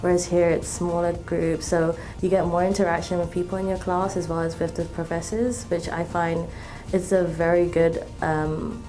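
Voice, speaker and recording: A woman in her twenties from Malaysia, a student talking in an interview, relaxed, with many ums and restarts. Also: fairly low pitch, moderate pace, soft background music. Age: 20s. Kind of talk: interview testimonial.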